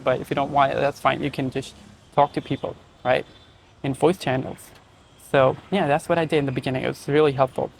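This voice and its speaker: A young man with an Indian accent talks casually to camera as the host of his own channel. His voice sits at an ordinary middle pitch, and his chatty delivery is full of "right?" and "you know". A faint hiss of noise runs underneath. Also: low-quality recording. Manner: conversational, frequent "right?" and "you know" fillers.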